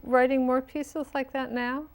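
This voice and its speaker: Female host for a casual interview; slightly high voice, halting phrasing with repeated words. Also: flat delivery, clean tone.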